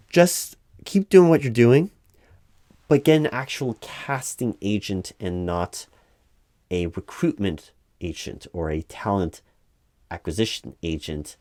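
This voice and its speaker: A man playing a weary, politely insistent recruiting manager in a one-sided interview roleplay, over-explaining in a halting, repetitive way at normal speaking volume. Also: fairly low pitch, darkish tone, no room sound. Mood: patient advising. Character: exasperated male recruiting manager, polite but increasingly weary. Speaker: job interviewer.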